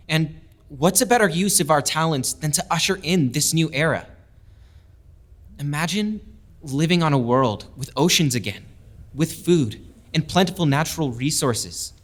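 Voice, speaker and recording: A man's voice: on the high side, lively intonation, unhurried, fairly bright tone. An actor playing a passionate young lieutenant who speaks up for his people with earnest conviction. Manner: earnest, persuasive speech-making. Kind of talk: audio drama acting.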